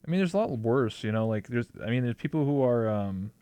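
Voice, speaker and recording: A laid-back man hosting a casual online interview, speaking in a middle pitch. He talks in a halting, easygoing way full of ums and you knows, and his voice sounds close and dry. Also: animated, dark tone, smooth, dry recording. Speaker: host.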